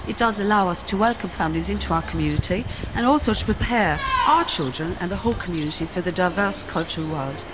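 A woman deputy head teacher answering interview questions in a school playground, earnest and measured with formal phrasing. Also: fairly low pitch. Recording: loud outdoor background noise.

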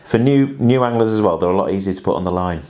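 A man in his thirties, a fishing coach, showing how to tie a rig at a kitchen table in a chatty, halting way full of restarts and tag questions, on a lapel mic. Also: sits low, dark tone. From England. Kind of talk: hands-on demonstration chat. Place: indoors, small home kitchen table.

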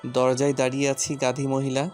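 A stern husband played by a male actor in an audio drama. He is curt and commanding, with a gruff, mocking edge. Soft music runs underneath his middle-pitched voice. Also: completely flat delivery, a little fast, natural voice. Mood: irritated, demanding. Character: stern, gruff husband who teasingly scolds his wife. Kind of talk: audio story character acting.

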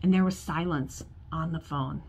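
A woman in her forties, a pastor, preaching a sermon to camera from her office, telling a story in a warm conversational way. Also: low-pitched, normal speed, vocal fry, husky. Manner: storytelling, acts out quoted voices, gestures with hands. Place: church office with bookshelves, indoors.

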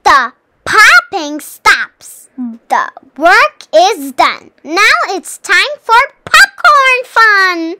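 A children's read-aloud host reading a storybook in an animated, deliberate, sing-song way. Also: very high-pitched, slight background noise.

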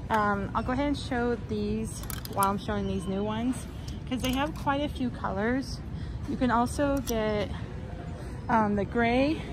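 A woman's voice: a little high, noisy background. A woman vlogger chatting casually and upbeat with lots of fillers as she films clothes on a phone inside a big store. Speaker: shopping vlogger. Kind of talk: casual shop-with-me narration. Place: inside a large retail store. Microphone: phone camera held in hand.

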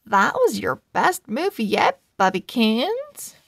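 A woman's voice: fairly high pitch, huge pitch swings, slow, vocal fry, a bit bright, dry recording, studio quality, heavily acted. A woman voicing a proud, sassy female cat from a children's storybook in a haughty, teasing way. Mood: grudging, teasing.

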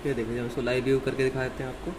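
A man with a mid-pitched voice walks through a setup step by step. He speaks in a plain, instructional, unscripted way. The handheld amateur recording has clear noise behind him. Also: monotone, racing. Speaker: installer giving a product tutorial. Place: indoors in a room.

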